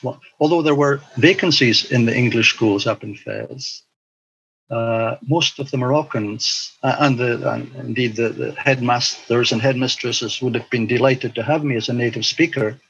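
An older man reflecting on his past in an interview, speaking in a hesitant, halting way. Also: medium pitch, vocal fry, fairly bright tone, highs cut off, a little hiss or hum. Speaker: interview guest, former teacher abroad. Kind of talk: recollection in an interview.

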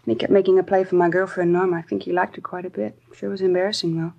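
An actress playing a disgruntled young secretary in a 1950s radio crime drama, talking in casual, complaining, clipped phrases. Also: female voice, fairly low pitch, level tone, rapid-fire, vocal fry, dull audio. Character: disgruntled young secretary, talkative, put-upon, street-wise.

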